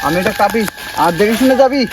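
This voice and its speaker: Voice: medium pitch, expressive, fast talker, very noisy, natural voice. A performer voicing a village uncle in a cartoon, speaking colloquial in an instructing way. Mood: instructing, friendly. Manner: colloquial, instructing, repeats short check-in questions. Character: village uncle, caring elder who leads children to gather sticks. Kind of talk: cartoon voice acting. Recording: loud music under the voice.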